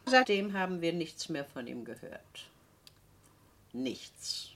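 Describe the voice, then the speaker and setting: A woman's voice: fairly low pitch, very animated, takes it slowly, a bit bright, exaggerated voice. An actress playing a domineering, spiteful middle-aged woman in a radio drama, theatrical, scornful and insistent. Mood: stern revelation. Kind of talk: German radio drama acting.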